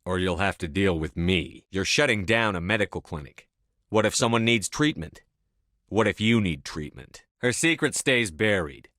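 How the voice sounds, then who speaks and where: A resolute space commander speaks low. His delivery is measured, terse and used to giving orders, as scripted video game dialogue. The recording is very dry, with no room sound. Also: animated, fairly bright tone, no room sound. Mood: hard, threatening. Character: tough, resolute male military space commander.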